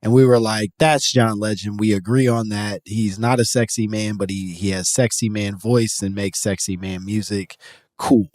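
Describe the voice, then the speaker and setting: A man's voice: fairly low pitch, steady tone, moderate pace, darkish tone, no room sound, clean studio sound. A man hosting a podcast in casual comedic chat, riffing with a joking, profane manner. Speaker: podcast host.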